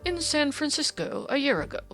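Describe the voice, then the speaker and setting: A woman voicing a pompous, petulant aristocratic English gentleman, stately and wordy, in an audiobook. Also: high voice, sing-song, normal speed, faint music behind, pitched above the performer's own voice. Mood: stiff, reserved. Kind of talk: audiobook character dialogue.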